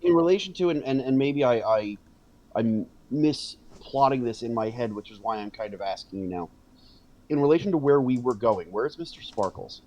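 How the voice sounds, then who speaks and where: A man's voice: mid-range voice, lively intonation, moderate pace, darkish tone, highs cut off, a little hiss or hum. Hesitant man playing a tabletop game. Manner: hesitant, restarts, apologetic about rules. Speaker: player. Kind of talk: casual tabletop game chat.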